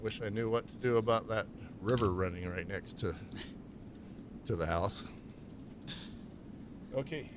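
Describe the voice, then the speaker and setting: A man interviewing a guest in a relaxed, casual way and giving calm advice about microphone setup. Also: fairly low pitch, measured pace, pauses often, noisy background. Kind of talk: casual chat.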